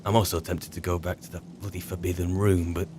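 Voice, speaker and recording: A man with a British accent roleplaying a weary, chatty wizard's servant turned adventurer, musing in a wry, halting, rambling way. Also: deep voice, level tone, background noise, natural voice. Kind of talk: in-character game roleplay monologue.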